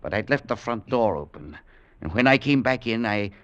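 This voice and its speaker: A man's voice: lowish voice, animated, moderate pace, fairly bright tone, dry recording, highs cut off. An actor playing a nervous, haunted professor who stammers as he talks, in old-time radio drama style. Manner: stammering, agitated. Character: nervous, haunted middle-aged male professor. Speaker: professor and scientist. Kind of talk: 1940s radio acting.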